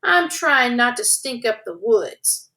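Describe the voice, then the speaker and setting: This is a shy young skunk from a picture book, voiced by a woman reading aloud. He sounds high and childlike and squeals when upset, with a lively, bouncing pitch. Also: slow pace, full character voice. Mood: worried. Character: young male skunk, shy then brave.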